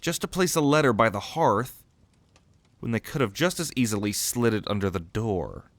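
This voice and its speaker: A man's voice: fairly low pitch, animated, moderate pace, no echo. A man playing a cocky, sardonic young prince at a mage academy in an audio roleplay, with a swaggering, theatrical manner. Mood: alarmed, deducing. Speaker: ASMR roleplay voice actor.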